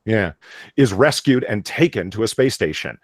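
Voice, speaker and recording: Animated low-voiced man, excitable podcast host. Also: normal speed, no echo, clean studio sound. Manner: excitable, swearing, emphatic. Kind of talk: casual live chat, reading aloud at times.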